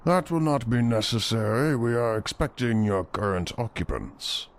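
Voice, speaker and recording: A man's voice: medium pitch, natural voice. Man playing a smug, echoing sinister creature. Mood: coolly courteous. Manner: smug, mock-courteous, drawn-out. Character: smug, sinister male cultist creature, scornful of humans. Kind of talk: fantasy audio drama acting. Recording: very echoey, distant, faint noise.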